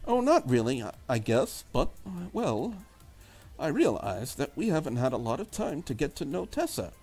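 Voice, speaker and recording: A man's voice: a little high, very animated, normal speed, darkish tone, breathy edge, full character voice. A man voicing a preppy, critical young Englishwoman in a visual novel, prim and wordy, with an English accent. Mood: reluctant, uneasy.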